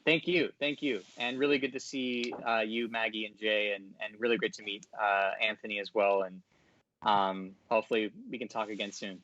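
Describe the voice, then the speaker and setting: A man's voice: on the low side, little pitch movement, normal speed, fairly bright tone, muffled recording. A man talking casually as a podcast guest, hesitant and polite in his phrasing. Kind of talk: casual interview talk. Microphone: online call.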